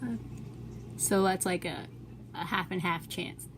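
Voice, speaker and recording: A woman's voice: lowish voice, unhurried, noisy background. A young adult woman asking questions in a casual, informal chat. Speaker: client asking questions, vlogger.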